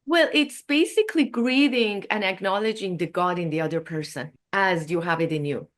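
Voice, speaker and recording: A woman's voice: mid-range voice, moderate pace. A woman, a Farsi speaker, talking as a guest in a casual interview. She explains things earnestly, with many fillers and restarts. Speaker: guest, yoga and Ayurveda practitioner.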